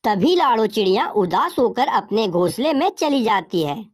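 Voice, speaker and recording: A woman's voice: fairly high pitch, sing-song, moderate pace, breathy edge. A woman narrating a scripted cartoon story as its storyteller.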